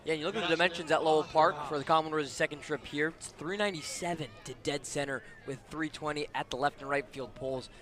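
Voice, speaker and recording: A man's voice: on the high side, fairly bright tone. A man giving live baseball radio analysis, conversational, in long flowing sentences full of detail. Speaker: baseball color commentator.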